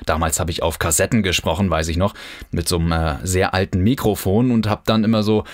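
Low-voiced chatty northern German man. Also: fairly even intonation, fairly quick, no room sound, clean studio sound. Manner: chatty, loose, many fillers and restarts. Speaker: podcast host. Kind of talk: casual podcast monologue.

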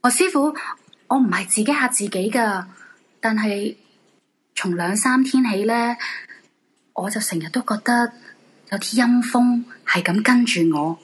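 A woman acting as an anxious wife in a ghost radio play speaks Hong Kong Cantonese. Her voice is high and husky, with an earnest, pleading manner. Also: measured pace, pauses often. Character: anxious polite wife who feels haunted.

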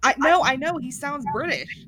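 A woman's voice: high-pitched, animated, normal speed, crowd noise. A young woman with a Pittsburgh accent, chatting casually as a podcast co-host. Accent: Pittsburgh, western Pennsylvania. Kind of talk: casual chat.

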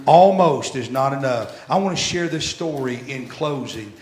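A pastor in his sixties preaching a sermon, repeating phrases as he swells loud, then dropping very soft. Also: medium pitch, animated, normal speed. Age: 60s. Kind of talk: sermon with storytelling. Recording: echoey church room.

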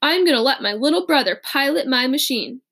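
A woman's voice: high-pitched, expressive, vocal fry, a bit bright, full character voice. A woman reading as a fervent young inventor. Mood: earnest. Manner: fervent, quick to rally. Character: eager intense young male inventor. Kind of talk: audiobook character reading.

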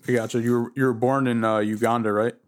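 Flat-voiced podcast host man. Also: mid-range voice, quick pace. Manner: short back-channel replies, laid-back questions. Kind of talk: casual interview.

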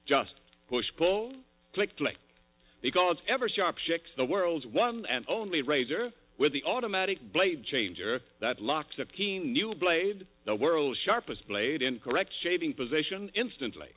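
Lively American radio announcer man, tinny sound. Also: fairly high pitch. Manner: polished, punchy salesman delivery. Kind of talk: 1940s radio announcing and sponsor pitch. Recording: tinny old radio broadcast, faint music.

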